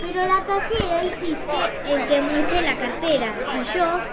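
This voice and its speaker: An indignant lady shopper acted by a woman with a very high voice. She is exasperated and stubborn, protesting as in an amateur radio play. People chatter in the background. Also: unhurried.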